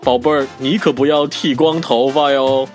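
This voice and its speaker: A man playing a fond, puzzled king and father in a children's audio drama, speaking in a doting, expressive way. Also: medium pitch, normal speed, soft background music, close to an everyday voice. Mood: puzzled, tender.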